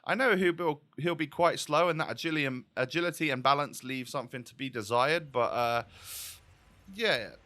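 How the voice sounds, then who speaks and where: Bright-voiced man doing casual game commentary. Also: a little high, moderate pace. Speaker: gaming video host.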